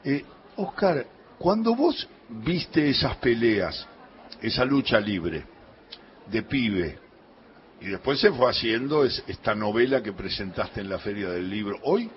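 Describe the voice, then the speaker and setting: A man's voice: mid-range voice, lively intonation, measured pace, slight background noise. A man with a Rioplatense Spanish accent, hosting a radio interview and asking curious, chatty follow-up questions. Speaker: radio host. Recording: dull lo-fi radio sound.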